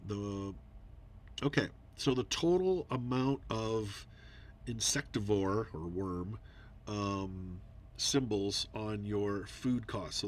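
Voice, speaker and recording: A man's voice: on the low side, a little hiss or hum. Congested man explaining a board game. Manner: rambling, thinks aloud with fillers. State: congested, a little nasal. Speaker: board game video player. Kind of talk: casual gameplay commentary.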